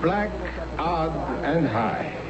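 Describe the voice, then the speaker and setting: A man's voice: on the high side, very animated, drawn-out words, over a noisy crowd, exaggerated voice. An actor in a radio drama playing a formal, impersonal male casino croupier calling out bets. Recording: very noisy, dull 1970s radio drama recording.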